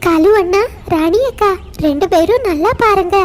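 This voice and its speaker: A woman's voice: very high-pitched, normal speed, a little hiss or hum. A woman voicing a small, talkative female bird in a children's cartoon. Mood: persuasive.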